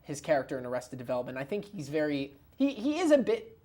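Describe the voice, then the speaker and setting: A man's voice: a little high, big pitch swings, fast talker, warm tone. A young man in casual review chat as a show co-host, joking and self-deprecating. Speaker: film review show co-host and quiz contestant.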